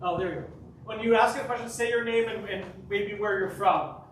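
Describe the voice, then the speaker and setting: A man from Chicago giving a public talk and taking audience questions, lively, with quick asides and restarts. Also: high-pitched, a little hiss or hum. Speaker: guest speaker. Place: community center hall. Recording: echoey hall, mic at a distance.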